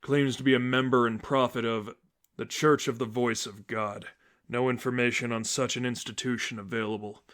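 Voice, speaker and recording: A man voicing a stern, brooding masked vigilante mentor, speaking in a grave, terse, low-key way in a story reading. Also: level tone, moderate pace, a bit bright, natural voice.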